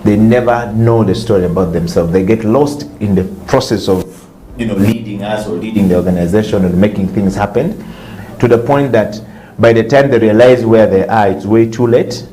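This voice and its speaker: A male host, welcoming a guest to his studio interview show in a measured, reflective way. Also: lowish voice, steady tone, mellow, reverberant, a little hiss or hum, poor audio.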